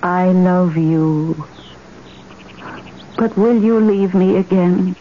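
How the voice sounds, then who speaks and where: An actress playing a lonely, timid, dreamy suburban wife who yearns for romance, with hesitant, emotional phrasing in an old-time radio drama. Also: female voice, on the low side, extremely slow. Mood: dreamy, yearning. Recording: muffled, noisy old radio broadcast.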